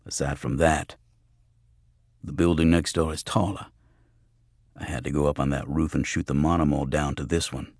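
A man's voice: very deep voice, fairly even intonation, moderate pace, pauses often, a little mellow, dry recording, clean studio sound, voice pushed down. A man voicing a grim, streetwise fighter in an audiobook thriller, matter-of-fact and wry.

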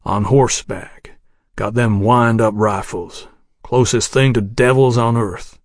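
A man's voice: medium pitch, fairly even intonation, takes it slowly, dry recording, close to an everyday voice. A man voicing a grim, weary, menacing in an audiobook, with a dry, toneless, terse delivery. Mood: toneless hatred.

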